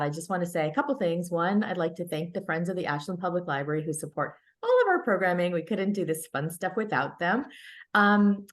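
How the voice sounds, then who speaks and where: A woman hosting a library talk over a video call, speaking hesitantly with frequent "um"s and restarts. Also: on the low side. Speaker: library program host.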